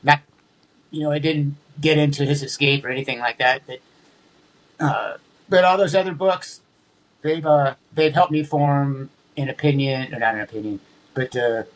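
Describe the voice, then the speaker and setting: Male guest, somewhat high voice, hesitant, repetitive phrasing. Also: fairly even intonation, moderate pace, rough recording. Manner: hesitant, repeats words while thinking. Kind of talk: conversational interview.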